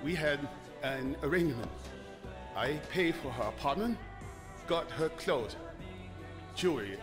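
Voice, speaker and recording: A man's voice: on the high side, animated, a little slow, over music. A man playing an edgy, self-important businessman in a video game. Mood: nervous, deferential. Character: haughty businessman who turns nervously deferential, male. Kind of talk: video game character acting.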